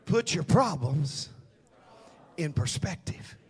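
A man's voice: a little high, expressive, slow pace. A man preaching a sermon, emphatic and calling on the congregation to answer back. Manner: emphatic, calls on the congregation to respond.

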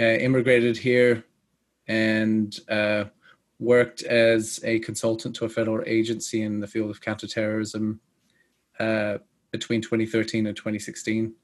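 Measured Australian man with a flat voice. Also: on the low side. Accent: Australian, close to British. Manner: measured, with many pauses and fillers. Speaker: guest. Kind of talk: podcast interview.

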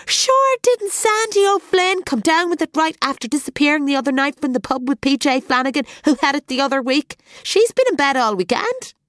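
A woman voicing a gossipy male village cafe owner with a booming, sing-song Irish accent, loud and nosy. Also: sing-song, brisk, hardly any pauses, no room sound, studio quality. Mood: gossiping.

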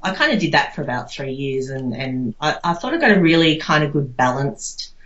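A woman's voice: low voice, animated, a little fast, fairly bright tone. An Australian woman giving casual, reflective interview answers in a rambling, conversational way, with lots of "you know". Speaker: interview guest. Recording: echoey room, slightly dull sound.

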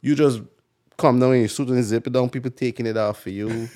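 A man's voice: mid-range voice, steady tone, a little fast, warm tone. A man from Guyana, chatting casually as an interview guest. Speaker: guest, club racing rider.

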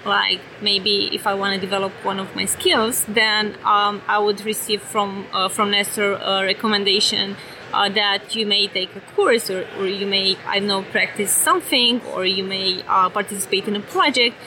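A woman's voice: medium pitch, fairly even intonation, normal speed, noisy background. A woman from Romania with a Romanian accent, speaking English as a second language in an earnest conference floor interview as a company executive. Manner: earnest, repeats phrases, non-native phrasing. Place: busy trade show floor.

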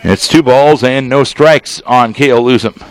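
A man doing live baseball play-by-play as a radio announcer, speaking in measured, clipped phrases with deliberate pauses. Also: medium pitch, a little hiss or hum, low-quality recording. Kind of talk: live sports play-by-play commentary.

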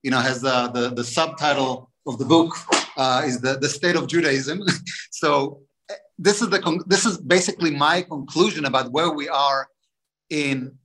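A man with a fairly high voice talks through the findings of his book as a webinar guest. He restarts sentences often and leans on fillers while he reasons aloud. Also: normal speed, a bit bright, poor audio. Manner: explanatory, many restarts and fillers. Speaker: author guest. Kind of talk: interview on a webinar.

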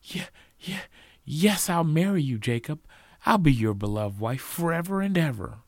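A man's voice: mid-range voice, moderate pace, a little mellow, no room sound, studio quality, voice pushed up, lightly acted. A man voicing a devoted, dreamy eighth-grade girl in love, gushing romantically in a told story. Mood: excited.

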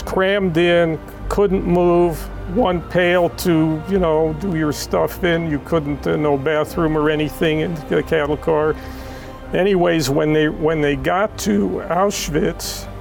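A woman with an American accent giving emotional family testimony in an interview, tearful, telling it in a halting, emphatic way. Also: low voice, vocal fry. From born in Israel, raised in Los Angeles. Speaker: interview guest, child of Holocaust survivors.

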